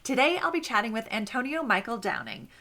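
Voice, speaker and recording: A woman's voice: on the high side, huge pitch swings, a little fast, pushed and bright. A woman hosting a podcast, introducing her guest and asking questions in an upbeat, enthusiastic way. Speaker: podcast host.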